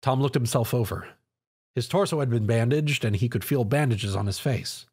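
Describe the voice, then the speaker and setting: A man reading an action story aloud as a scripted narration in an animated way. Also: medium pitch, moderate pace, slightly rough.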